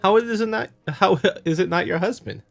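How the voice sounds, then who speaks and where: A man's voice: high voice, normal speed, vocal fry, fairly bright tone, voice pushed up. A man voicing a placating young husband in a visual novel, soothing and coaxing his wife in an amateur dramatic style. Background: music.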